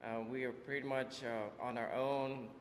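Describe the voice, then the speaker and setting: A man in his forties from Vietnam, telling his life story as an interview guest, halting with many fillers and restarts. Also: medium pitch, fairly even intonation, slight background noise. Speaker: interview guest, business owner. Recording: echoey room with long reverb.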